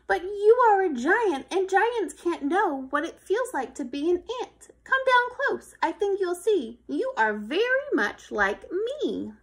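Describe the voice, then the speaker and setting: Lady reading as a tiny plucky ant, high sing-song voice, earnest, pitch all over. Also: big pitch swings, a little slow, hardly any pauses, full character voice. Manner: imploring, emphatic sing-song rhyme.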